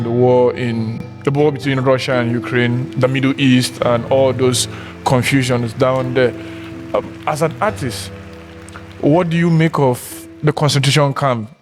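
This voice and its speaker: A man hosting an interview podcast, who asks reflective questions and repeats phrases for emphasis. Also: medium pitch. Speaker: podcast host. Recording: hall reverb.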